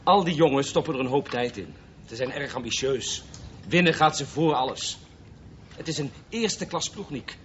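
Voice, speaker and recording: A man's voice: medium pitch, lively intonation, moderate pace, fairly bright tone, lightly acted. A male actor playing an adult man who cautions a boy, hesitant and soothing, in a Dutch radio play. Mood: placating. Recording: muffled, faintly noisy radio play recording.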